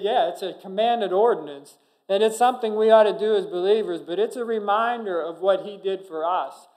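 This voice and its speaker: A middle-aged male pastor preaching a sermon from a church pulpit, earnest, with sudden loud emphasis and frequent restarts. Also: high voice, poor audio. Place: church sanctuary behind a pulpit. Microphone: pulpit microphone, far camera.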